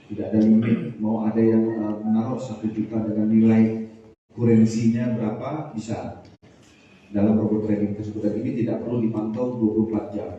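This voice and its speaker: A man speaking as a police official at a press briefing, in a measured official tone with frequent hesitations. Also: on the low side, mellow, a little hiss or hum. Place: large hall at a press conference. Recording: echoey hall from far off, dull lo-fi.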